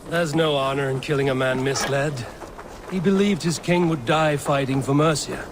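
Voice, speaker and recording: An actor playing a seasoned Viking warrior who speaks in a measured, steady way. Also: male voice, a little high, background noise, natural voice. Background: music.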